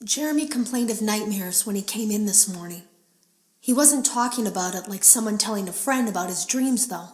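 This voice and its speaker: An echoey room surrounds an uneasy female game tester played by an actress. Her mid-range voice tells its story in a measured, confessional way, like secret audio logs in a horror video game. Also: medium pitch, natural voice. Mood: somber, troubled. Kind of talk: scripted audio log monologue in a horror game.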